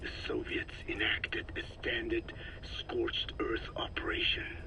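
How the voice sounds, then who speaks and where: An actor playing a frail, grave old scientist in a video game, speaking in slow, formal, halting phrases with a breathy rasp. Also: male voice, mid-range voice, completely flat delivery, bright, forceful tone, slight background noise, heavily acted, poor audio. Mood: grave, explanatory. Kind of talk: dramatic video game dialogue.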